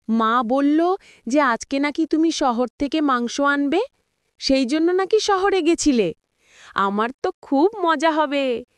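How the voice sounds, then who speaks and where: An innocent, eager little girl in a cartoon tale. The voice is high and very animated, slowly paced and recorded dry. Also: no echo. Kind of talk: Bangla cartoon story dubbing.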